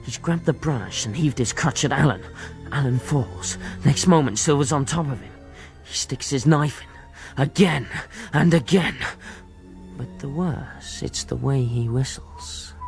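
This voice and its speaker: A man's voice: mid-range voice, measured pace, darkish tone, soft background music, natural voice. An actor playing a frightened but earnest ship's boy, telling his story in rustic grammar in a tense radio drama. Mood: horrified.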